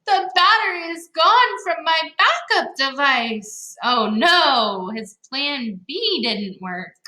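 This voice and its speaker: Woman acting a high, panicky young boy. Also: lively intonation, takes it slowly, pushed and bright, heavily acted. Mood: dismayed. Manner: panicky, exclaiming. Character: gadget-loving young boy, dramatic and easily panicked. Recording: roomy, echoing sound.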